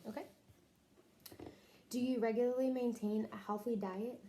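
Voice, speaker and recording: A woman's voice: fairly high pitch, fairly even intonation, moderate pace, mellow, a little hiss or hum. A woman interviewing someone for a health history, working methodically through prepared questions and briefly acknowledging answers. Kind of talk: scripted clinical questionnaire interview.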